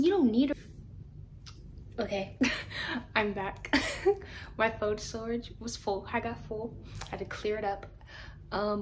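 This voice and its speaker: A young woman in her twenties talks to camera at home in a loose, rambling way, often laughing at herself. Her pitch sits in a middle range, and a faint background hiss runs under her voice. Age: 20s. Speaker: vlogger. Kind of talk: casual chat to camera.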